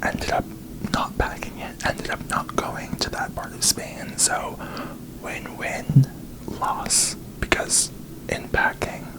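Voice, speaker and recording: A man's voice: takes it slowly, low-quality recording. A man making ASMR videos, chatting casually and candidly to viewers in a self-deprecating way.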